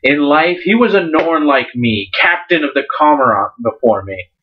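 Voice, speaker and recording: A man's voice: a little high, lively intonation, moderate pace, slight background noise, natural voice. Man playing hearty, ferocious sea captain, Magnus. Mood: urgent. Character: towering jovial, ferocious male Norn sea captain. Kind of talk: dramatic storybook reading. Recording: dull lo-fi recording.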